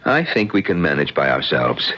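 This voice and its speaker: A man's voice: very deep voice, very animated, normal speed, bright, forceful tone, full character voice. An American actor playing an earnest, guarded middle-aged doctor and worried father in a 1970s radio drama. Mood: guarded, uneasy. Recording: dull vintage radio recording, music behind.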